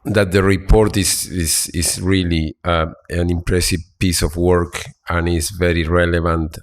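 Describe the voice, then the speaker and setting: Panelist, man from Argentina, very low voice, hesitant with restarts. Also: little pitch movement. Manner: halting, many fillers and restarts. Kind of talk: panel talk. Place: conference hall.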